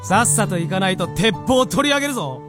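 A talking fox spirit in a radio drama, stern yet soft-hearted. The voice sits high and moves in lively sweeps, commanding and blunt, with faint music behind it. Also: male voice, quick pace. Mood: gruff, relenting.

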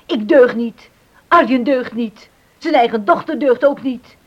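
A woman's voice: a little high, measured pace, lo-fi sound. An actress playing a blunt, devout, protective middle-aged mother in a Dutch radio drama, speaking emphatically and homely. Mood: indignant. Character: plain-spoken, devout mother, defiant and protective.

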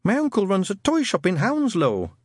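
A nervous, timid young man who stammers and squeaks, pitched well up, as a male narrator puts him on. His voice is a touch husky and jumps around a lot, recorded close and dry. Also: wildly swooping pitch, normal speed, darkish tone, no room sound, heavily acted. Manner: hesitant, stuttering.

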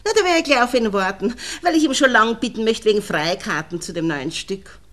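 An actress playing a nosy, falsely friendly married neighbour with a Viennese accent, chatty and insinuating, in a 1960s radio play. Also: female voice, fairly high pitch, animated, a little fast, fairly bright tone. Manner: chatty, insinuating, colloquial dialect.

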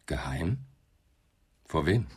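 Ein Schauspieler spielt in einem deutschen Krimihörspiel einen ruhigen, direkten Polizeiinspektor, der knapp und nüchtern nachfragt. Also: male voice, rumbling low, lively intonation, takes it slowly, darkish tone, slightly rough, full character voice. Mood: matter-of-fact. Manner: sober, terse, probing. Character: calm, blunt male police inspector questioning people. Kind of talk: German radio crime drama acting.

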